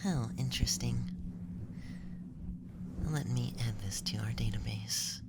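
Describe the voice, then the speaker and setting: A man voicing a calm, reassuring alien researcher in a whispered ASMR roleplay, measured and clinical with a faint menace. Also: medium pitch, heavy background noise. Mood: clinical, curious.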